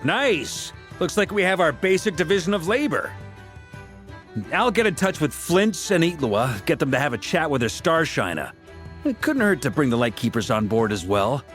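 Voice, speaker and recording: A laid-back knight captain with a lively, slightly high voice that rises and falls freely. He speaks in a wry, encouraging way, and soft game music runs behind him. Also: moderate pace. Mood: upbeat. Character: easygoing knight captain rallying allies. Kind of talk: video game dialogue.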